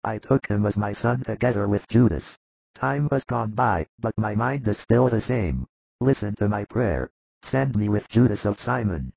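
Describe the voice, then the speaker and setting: Male reader as a selfless elderly follower in a religious story, earnest creaky delivery, dry muffled sound. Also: lowish voice, lively intonation, normal speed, dry recording, full character voice. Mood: kindly offering. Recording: muffled, narrow-band sound.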